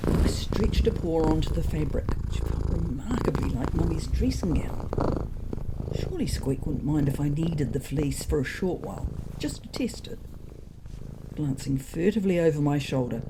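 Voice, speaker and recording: A woman's voice: low-pitched, lively intonation, moderate pace, very noisy. A woman voicing a fussy, anxious male cat who narrates his comic diary in a prim, wordy, faintly indignant way. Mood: furtive, contented.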